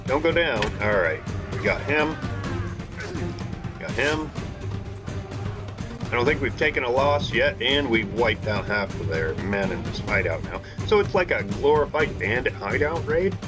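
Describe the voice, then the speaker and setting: A man giving excited live commentary over his gameplay, talking to his viewers and shouting during fights. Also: mid-range voice, measured pace. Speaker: gaming channel host. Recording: game music behind voice.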